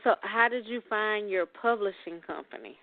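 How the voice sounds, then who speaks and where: A woman interviewer asks simple questions and reacts with short, encouraging remarks. Her voice sits at a middle pitch. The sound is dry and narrow, like a phone line. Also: moderate pace, dry recording. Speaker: host, children's author. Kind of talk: casual interview.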